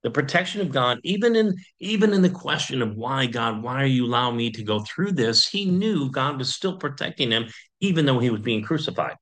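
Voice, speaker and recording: A man, a pastor, preaching a sermon in a conversational way, with frequent pauses and fillers. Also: mid-range voice, animated, fairly bright tone, poor audio.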